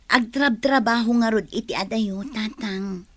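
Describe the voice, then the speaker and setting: A woman's voice: a little high, a little slow, a bit bright, dry recording, clean studio sound. An actress playing an anguished, loyal young wife and mother in a radio drama, with a pleading, tearful delivery. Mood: defensive, evasive. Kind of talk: Ilocano radio drama acting.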